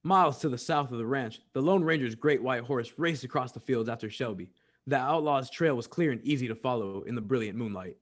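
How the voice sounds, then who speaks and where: A man's voice: mid-range voice, a little fast, lightly acted. A man narrating an old-time western radio drama in a dramatic, scripted storytelling style.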